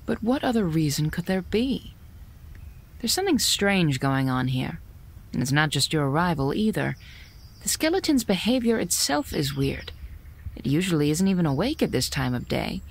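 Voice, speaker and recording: A fairly low female fox spirit voiced by an actress speaks with lively, sly inflection. She is shrewd and watchful, cool and deliberate, a probing presence in an audio drama. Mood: puzzled.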